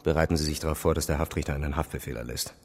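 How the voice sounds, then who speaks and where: Deep-voiced, skeptical defence lawyer. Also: male voice, fairly even intonation, rapid-fire, darkish tone, in a large hall. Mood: probing, sober. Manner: dry, formal, probing. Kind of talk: German radio crime drama acting.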